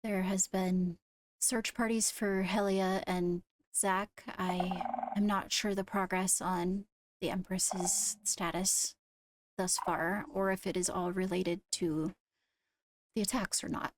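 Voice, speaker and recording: A woman playing a composed court leader in a Minecraft roleplay, speaking formally and haltingly as she gives a briefing. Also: lowish voice, little pitch movement, background noise. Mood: measured, serious. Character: measured female court leader briefing on attacks. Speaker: roleplayer leading a court meeting.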